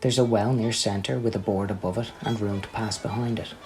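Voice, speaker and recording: A man's voice: lowish voice, fairly even intonation, fairly quick, a little mellow. Male radio play narrator over fiddle music. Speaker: announcer and narrator. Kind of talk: scripted radio play introduction and stage directions.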